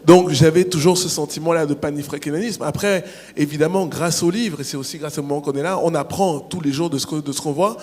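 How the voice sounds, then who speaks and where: Man from Cameroon, panel author: measured, raised voice, long hall reverb. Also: on the high side, darkish tone. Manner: measured and explanatory, with frequent restarts. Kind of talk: answering questions at a public panel.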